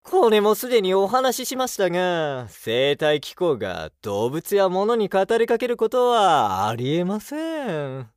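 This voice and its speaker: A courteous, analytical technician from a radio play. He explains at an unhurried pace with widely swinging intonation, in formal, slightly theatrical language. The recording is dry and close. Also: high-pitched, big pitch swings, smooth, no room sound. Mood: knowing. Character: polite, analytical male technician of living machines, explains patiently. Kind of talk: Japanese audio drama acting.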